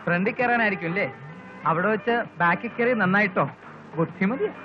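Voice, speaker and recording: Man acting a high-voiced, smooth-talking comic braggart. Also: brisk, close to an everyday voice. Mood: flirtatious, showing off. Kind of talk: Malayalam film comedy dialogue. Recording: dull, lo-fi film soundtrack. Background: prominent background music.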